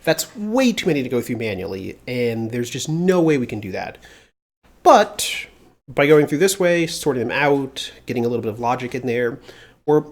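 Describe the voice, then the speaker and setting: A slightly congested man chatting casually on a live coding stream, thinking aloud with plenty of filler pauses, into a mounted mic at a home desk. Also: mid-range voice, lively intonation. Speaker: livestreamer doing security research. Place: home desk with mechanical keyboard. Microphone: mounted desk studio mic.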